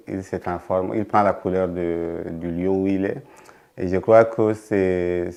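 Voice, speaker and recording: A man from Chad speaks in a deep, mellow voice that stays very level. He reflects as an interviewee, often pausing and restarting as he looks for the right word. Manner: hesitant, searching for words. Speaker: documentary interviewee.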